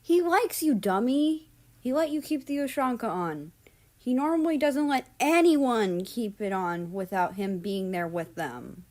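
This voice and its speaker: Lady doing a teasing pouty teen girl, high voice, blunt, audiobook character. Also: animated, unhurried, a little mellow.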